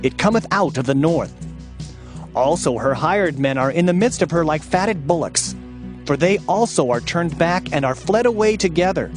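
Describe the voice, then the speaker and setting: An actor playing a grave, impassioned prophet in a dramatized scripture performance, declaiming solemnly and emphatically. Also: male voice, on the high side, lively intonation, moderate pace, natural voice. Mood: stern foreboding. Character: fiery Hebrew prophet proclaiming God's judgment, grave and impassioned. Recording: dramatic music behind the voice. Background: dramatic music.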